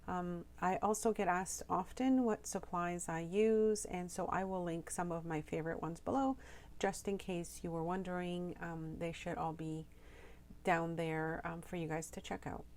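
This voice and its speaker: A woman with a slightly hoarse voice, narrating a crafting tutorial voiceover, soft-spoken and explaining with frequent ums. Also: fairly low pitch, fairly even intonation, moderate pace. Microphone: home voiceover mic.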